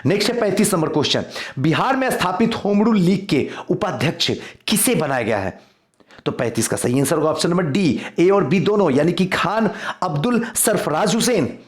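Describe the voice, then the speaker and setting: A man speaks as an exam-prep teacher working through quiz questions. His voice sits a little high and moves up and down a great deal as he reads and explains. He is instructive, restating key points so they stick. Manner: instructive, repeats questions and answers for emphasis. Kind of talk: quiz lesson, reading questions and explaining answers.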